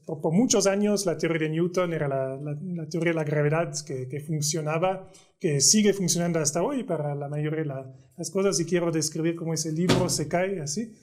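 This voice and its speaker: A man's voice: a little high, darkish tone. Swiss man. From Switzerland. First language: French. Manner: hesitant, repeats words while searching for terms. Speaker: guest physicist. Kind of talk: casual conversational explanation.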